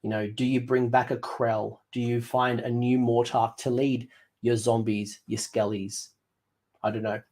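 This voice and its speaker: A man losing his voice, hosting a casual hobby livestream and thinking out loud with lots of ums and restarts. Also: medium pitch, level tone, unhurried. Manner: rambling, thinks aloud with many ums and restarts. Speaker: livestream host.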